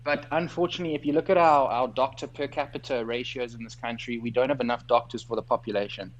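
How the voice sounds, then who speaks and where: A man with a South African accent, a guest entrepreneur who explains things in a clear, structured way in a conversational interview, tired late at night. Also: medium pitch, steady tone, fast, highs cut off, a little hiss or hum.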